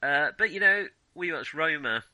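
Guy chatting on a movie podcast, really sharp forceful voice, dry sound, emphatic opinions, stops n starts. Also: a little high, animated, normal speed, no room sound. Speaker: film podcast co-host. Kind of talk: casual film discussion.

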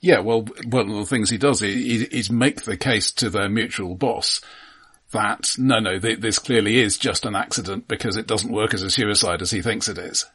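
A man's voice: fairly low pitch, normal speed, fairly bright tone, husky. A man co-hosting a film podcast, chatting casually in a matter-of-fact, analytical way with frequent restarts.

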